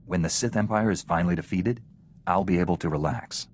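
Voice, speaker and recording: An actor playing a duty-bound, earnest heroic knight in a video game, speaking in a measured, restrained way. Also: male voice, low-pitched, a little hiss or hum, natural voice. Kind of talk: video game character dialogue.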